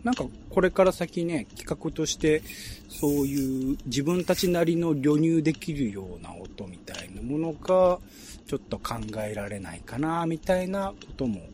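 A man hosts a laid-back round-table talk with very lively swings in pitch. He is polite and roundabout, often feeling for his words. Some ambient noise sits behind his voice. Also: normal speed. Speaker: host. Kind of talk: relaxed round-table chat.

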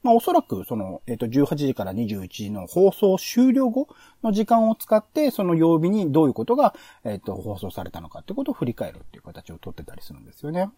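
A man talks as a podcast co-host in a fairly high, very animated voice. His delivery is quick and explanatory, running on through long linked thoughts. The tone is on the soft, mellow side. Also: slightly rough, dry recording. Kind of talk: casual discussion of radio shows.

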